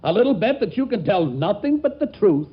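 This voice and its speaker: A man's voice: high-pitched, sing-song, fairly quick. A man acting a blustering, shifty middle-aged boss in a 1940s radio comedy, hemming and wheedling as he talks. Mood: sly, challenging. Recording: dull, hissy vintage 1940s radio broadcast.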